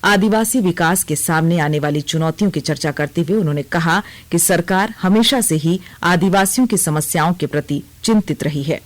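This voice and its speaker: A woman reading a scripted regional radio news bulletin as the newsreader. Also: fairly low pitch.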